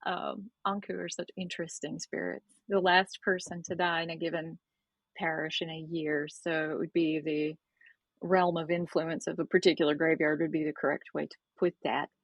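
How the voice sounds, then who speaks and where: A woman guest on a casual podcast interview, speaking with a hoarse, froggy voice and a gravelly growl, explaining at length and often restarting phrases. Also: low voice, little pitch movement, moderate pace, no room sound, poor audio. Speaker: author guest.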